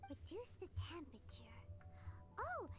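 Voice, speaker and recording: A woman's voice: very high voice, wildly swooping pitch, unhurried, over music, full character voice. A woman voicing a tiny floating childlike companion in a video game who talks about herself in the third person. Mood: chirpy.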